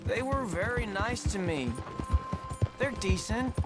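A man's voice: sits high, expressive, unhurried. An actor playing an earnest, naive young man in a video game, plaintive and easily put on the defensive. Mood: defensive, dejected. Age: young adult. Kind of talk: naturalistic video game drama acting. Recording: music behind game dialogue.

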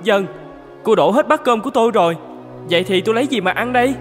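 An actor playing a good-hearted young man in an animated fairy tale, speaking earnestly and expressively over music. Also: high voice, fast. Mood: hungry. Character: good-hearted poor young man who becomes king. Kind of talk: cartoon fairy-tale voice acting.

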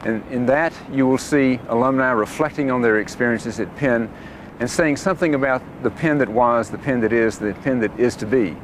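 A middle-aged man who leads a university, addressing the camera in a measured, stately way in a grand hall. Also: medium pitch, slight background noise. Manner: measured, stately delivery with some hesitations. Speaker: university leader.

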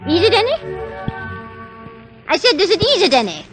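High-pitched scolding farm wife. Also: female voice, huge pitch swings, fairly bright tone, faint music behind, heavily acted. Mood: scolding, bossy. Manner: nagging, brisk, folksy. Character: bossy, scolding colonial farmer's wife. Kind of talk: 1940s radio acting.

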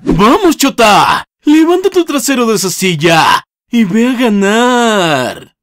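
A man playing an excitable, flamboyant contest announcer in an anime fandub, showy and drawn-out, with a Latin American accent. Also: high voice, dry recording, clean studio sound, faint music behind, full character voice. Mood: excited. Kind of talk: anime comic fandub acting.

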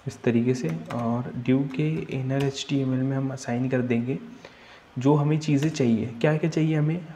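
A man's voice: mid-range voice, a little hiss or hum. A young man teaching web coding over a screen recording, walking through each step and thinking aloud as he types. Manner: steady step-by-step explaining, thinks aloud while typing. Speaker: coding tutor.

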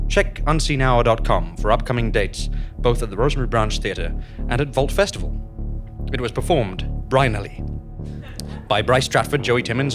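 Male narrator-announcer for scripted outros and credits. Solemn, mock-grand, with music. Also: mid-range voice.

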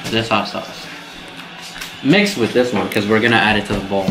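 A man's voice: on the low side, moderate pace, music in the background. A man in his twenties, from Central America, chatting and joking with friends while they cook and eat. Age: 20s. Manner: laid-back, joking. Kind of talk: casual chat. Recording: echoey room with noise.

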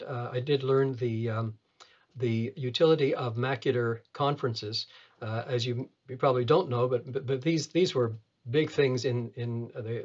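An elderly man from Canada recalls his medical career in an interview. He speaks at a middling pitch, halting often and repeating words. The sound is a little dull, as from a video call in an office. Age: 70s. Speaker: retina surgeon, interviewee. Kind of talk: reminiscing in an interview.

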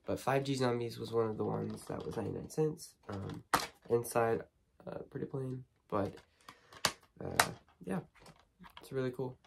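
Hoarse teen guy rambling with ums. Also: mid-range voice, normal speed, heavy background noise. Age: teens. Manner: rambling, lots of ums and restarts. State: hoarse from a cold. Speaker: horror movie vlogger. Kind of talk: casual unboxing chat. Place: small bedroom studio with shelves.

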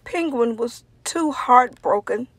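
A woman reading a picture book aloud to children as an expressive story hour narrator. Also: fairly high pitch, takes it slowly.

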